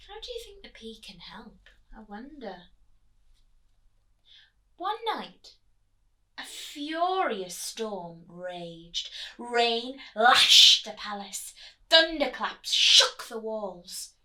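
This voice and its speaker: High, bright-voiced woman reading a storybook slowly. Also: very animated, rough recording. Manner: dramatic, asks listeners questions, drops to whispers. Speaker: storytime reader, teacher. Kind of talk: expressive children's storybook read-aloud.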